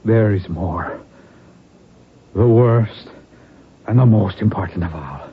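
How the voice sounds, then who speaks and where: An actor playing a wounded, middle-aged Irish rebel lord on his deathbed in a radio drama, weary and halting, with an Irish accent. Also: lowish voice, slight background noise. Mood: grave, pleading. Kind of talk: old-time radio drama acting. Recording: muffled, narrow-band vintage radio recording.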